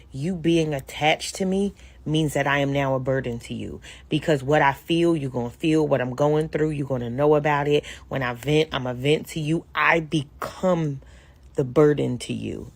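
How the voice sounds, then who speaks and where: A woman in her thirties or forties talking slowly and emphatically in a reflective, confessional vlog. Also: sits low, vocal fry. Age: 30s or 40s.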